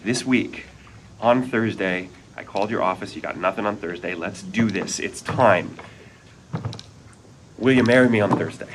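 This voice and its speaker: A man's voice: lowish voice, normal speed, pauses often, background noise, natural voice. An actor reading an earnest romantic man proposing again at a table read. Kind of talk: table-read line delivery.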